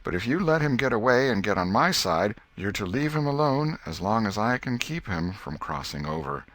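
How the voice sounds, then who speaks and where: A man's voice: on the low side, sing-song, moderate pace, fairly bright tone, dry recording, pitched above the performer's own voice. A man acts out a young schoolboy full of mischief in a storybook. He is bossy, eager and stubborn, and talks in a rustic, folksy way with a dry, close sound. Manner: rustic colloquial boyish talk.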